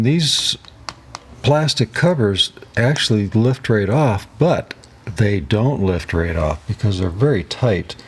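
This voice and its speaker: A man narrating a hands-on tutorial as host, talking haltingly as he thinks aloud while he works. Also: on the low side, a little hiss or hum. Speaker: host of a DIY electronics repair channel.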